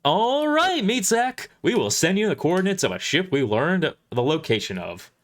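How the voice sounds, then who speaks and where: A man's voice: sits high, sing-song, moderate pace, voice pushed up. A man voicing a gleeful, insolent machine driver in an audio drama, taunting and relishing every word.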